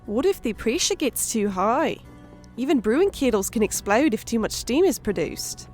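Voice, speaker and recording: A man voicing a sharp, money-loving fantasy woman, dour and excitable, in an audiobook. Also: extremely high pitch, moderate pace, soft background music, pitched above the performer's own voice. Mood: wary questioning. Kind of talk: audiobook character voice.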